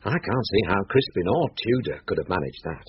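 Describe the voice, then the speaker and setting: Measured, low-voiced English police inspector. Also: male voice, big pitch swings, slightly rough, heavily acted. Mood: measured, confident. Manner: measured, dry, formal. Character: calm, courteous, methodical English male police inspector. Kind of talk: radio drama acting. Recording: dull radio drama recording with faint noise.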